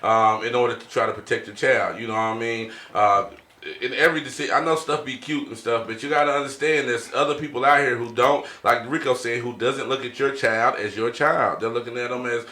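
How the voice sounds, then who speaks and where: A man's voice: mid-range voice, steady tone, fairly quick, bright, forceful tone. A man hosting a casual podcast, talking in an informal, slangy way with frequent check-in phrases. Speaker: podcast host. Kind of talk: casual conversational podcast hosting with shout-outs. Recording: roomy, echoey sound.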